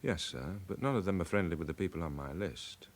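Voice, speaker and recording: A British police inspector in a radio crime play. He is courteous, measured and relentless, with a fairly low voice and plenty of rise and fall. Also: animated. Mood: politely insistent. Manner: measured, deferential, insistent. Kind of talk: radio crime drama acting.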